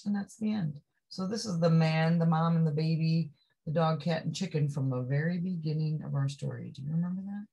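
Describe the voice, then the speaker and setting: A woman reading a picture book aloud to young children, expressively, with drawn-out phrases and chatty asides that put questions to the listener. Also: sits low, vocal fry, warm tone, poor audio. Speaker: storybook reader.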